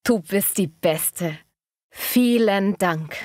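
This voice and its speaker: A woman acting as a friendly, courteous office colleague at a publishing house. She enunciates clearly at a medium pitch, as in a scripted language lesson, recorded close and dry. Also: drawn-out words, darkish tone, no echo. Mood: grateful.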